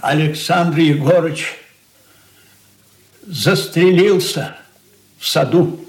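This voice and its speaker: Stricken news bearer, slow delivery, theatrical radio drama, reverberant space. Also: male voice, on the high side. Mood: horrified. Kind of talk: radio stage drama acting. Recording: roomy 1980s radio play recording.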